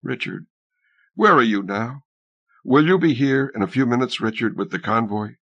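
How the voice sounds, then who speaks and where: A man's voice: mid-range voice, animated, normal speed, a bit bright, no echo, muffled recording. Male narrator as a wistful young woman, tender, weary, sorrowful, storybook drama, close dry sound. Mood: wistful longing.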